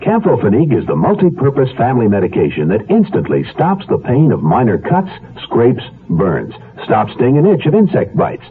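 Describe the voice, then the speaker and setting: A man's voice: mid-range voice, huge pitch swings, normal speed, few pauses, a little hiss or hum. A man reading a scripted radio commercial as an announcer. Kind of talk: scripted advertisement narration. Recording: tinny, band-limited old radio ad.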